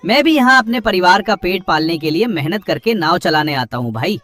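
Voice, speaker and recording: Man acting a high-pitched, kindly village boatman. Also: lively intonation, a little fast, bright, forceful tone, no room sound, faint music behind. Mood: reluctant, relenting. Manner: plain-spoken, admonishing. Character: kind, hard-working village boatman, grumbling but humane. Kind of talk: dramatised cartoon story dialogue.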